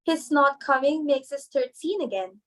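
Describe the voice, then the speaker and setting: A woman's voice: sits high, animated, moderate pace, a bit bright. A woman acting a timid, meek young society daughter in a dramatic play reading, with a hesitant manner. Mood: meek protest.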